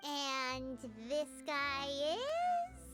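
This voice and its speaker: A woman voicing a tiny, childlike fairy companion in a video game, squeaky, cheeky and excitable. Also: extremely slow, faint music behind, full character voice. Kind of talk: video game dialogue acting.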